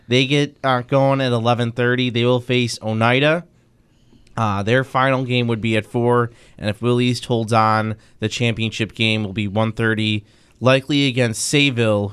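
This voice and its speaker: Sports radio host, smooth clear voice, rattles off scores and stats. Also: male voice, mid-range voice, fairly even intonation, fairly bright tone. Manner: brisk, matter-of-fact reporting of stats.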